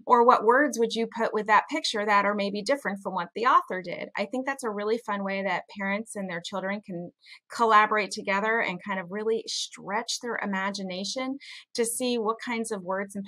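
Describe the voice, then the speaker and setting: A woman's voice: mid-range voice, bright, forceful tone. A middle-aged woman explaining things conversationally as a panel guest, on a headset in a home room. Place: small home room, indoors. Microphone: headset on video call.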